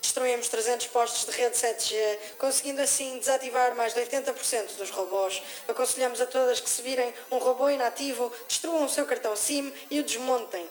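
A woman's voice: on the high side, steady tone, a little fast, few pauses, natural voice. An actress in a radio drama playing a woman announcer who reads a formal, instructing revolutionary message. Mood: official. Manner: declarative, instructing.

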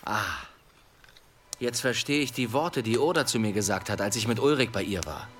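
A man playing a grave, earnest villager in a German audio drama, speaking in a measured way. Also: mid-range voice, slight background noise, close to an everyday voice. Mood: dawning realization. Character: grave village man piecing together a prophecy.

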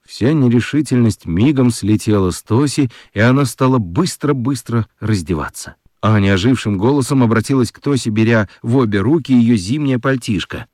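A man narrating a children's radio play in an expressive storytelling style. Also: mid-range voice, moderate pace, no echo, clean studio sound.

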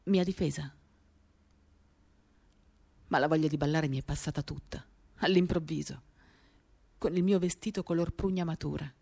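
A woman performing an expressive, theatrical first-person literary reading for radio, moving between animated and hushed delivery. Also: low-pitched, moderate pace, creaky, pauses often, slightly rough. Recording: acoustic guitar accompaniment. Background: guitar music.

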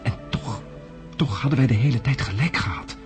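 An actor narrating a radio play as a weary male astronaut, grave and measured. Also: medium pitch, natural voice. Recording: music under the voice.

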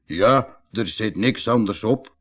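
A man's voice: fairly low pitch, slow, pitched below the performer's own voice. A man with a Netherlands Dutch accent voicing a male thief from a gang in a children's storybook. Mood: grudging. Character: gang of male thieves, bossy then sheepish. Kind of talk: storybook character dialogue.

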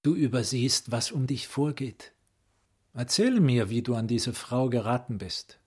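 An actor in a radio play playing a philosophical, probing psychiatrist with an eloquent, rhetorical manner. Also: male voice, medium pitch, a little slow, a little mellow, slightly rough. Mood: probing, admiring. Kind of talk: radio drama acting, literary monologue.

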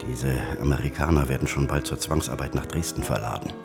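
Very deep-voiced, courteous German major. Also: male voice, fairly even intonation, brisk, gravelly, music in the background. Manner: polite, formal. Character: courteous German army major, friendly to his prisoners. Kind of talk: radio drama acting.

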